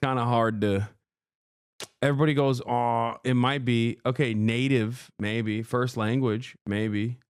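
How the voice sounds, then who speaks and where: A man's voice: medium pitch, steady tone, a little slow, clean tone, no room sound, studio quality. A man from Turkey, a native Turkish speaker, hosting a casual live-stream reaction and bluntly teasing his viewers. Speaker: streamer host.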